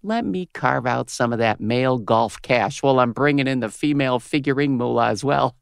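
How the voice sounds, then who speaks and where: A radio host in her fifties in a comedy audio drama. She is brash and cynical, with a gravelly, creaky smoker's voice recorded dry and close. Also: a bass voice, moderate pace, no room sound. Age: 50s. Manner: brash, foul-mouthed, switching to syrupy on air. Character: crude, cynical, hard-bitten 57-year-old female radio host.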